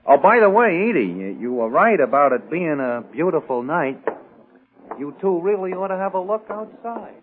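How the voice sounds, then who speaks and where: An actor playing a calculating, smooth-talking crook with quiet menace, in 1940s radio drama style. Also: male voice, on the high side, very animated, moderate pace. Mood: casual, sly. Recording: narrow, noisy 1940s radio recording.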